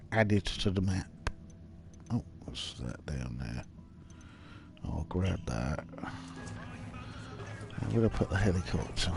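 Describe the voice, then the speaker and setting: Slow, nasal man livestreaming. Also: lowish voice, a little mellow. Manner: hesitant, many ums and restarts. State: nasal, bunged up from hay fever. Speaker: livestreamer playing a video game. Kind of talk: casual rambling commentary over gameplay. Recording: game sounds and vehicle noise behind. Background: video game audio with vehicles.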